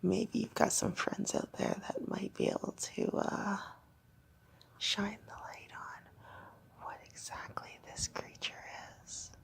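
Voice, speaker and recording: A woman voicing a creepy, teasing fox girl creature held in containment, whispering slowly in an ASMR horror roleplay. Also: medium pitch, steady tone, gravelly. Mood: secretive, sly. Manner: slow whispering, sinister teasing.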